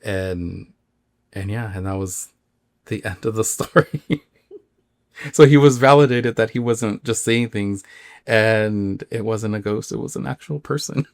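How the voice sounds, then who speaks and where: Low-voiced man casually telling a story. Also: gravelly, dry recording, studio quality. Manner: informal, halting, filler-heavy with acted-out quotes. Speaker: podcast host.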